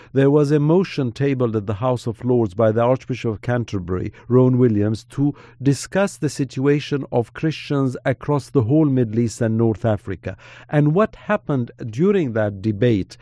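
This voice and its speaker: Earnest, measured man with mellow voice. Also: medium pitch, animated, no echo, clean studio sound. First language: Armenian. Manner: measured, earnest, preacherly cadence. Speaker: podcast commentator on Middle East affairs. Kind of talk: podcast discussion.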